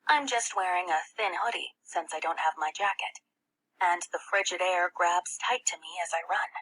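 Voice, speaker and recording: A woman voicing an earnest, tender young schoolgirl who narrates her own story in an expressive audiobook reading, with a breathy, husky tone and hushed passages. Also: lowish voice, normal speed, rough recording. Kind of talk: first-person audiobook narration.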